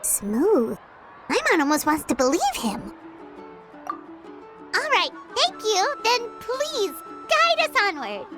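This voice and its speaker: A woman's voice: very high voice, very animated, takes it slowly, pauses often, heavily acted. A woman voicing a small, perky, suspicious and chatty childlike fairy companion in a video game. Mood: eager, cheerful. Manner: speaks of self in third person, exclamatory. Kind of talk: video game cartoon voice acting. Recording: loud music behind.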